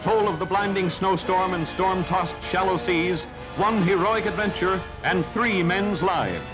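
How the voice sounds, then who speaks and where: A man's voice: high-pitched, a little slow. A man reading scripted narration for an old newsreel in a clipped, dramatic, telegraphic delivery. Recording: dull old newsreel soundtrack with music. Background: music.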